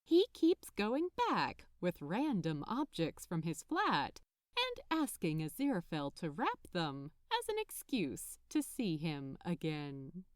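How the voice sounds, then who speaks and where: A woman narrates fiction with a highly animated, slightly high-set voice that rises and falls constantly. Her delivery is slow and measured, with expressive storytelling throughout. The sound is close and dry. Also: no room sound. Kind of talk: scripted story narration, read aloud.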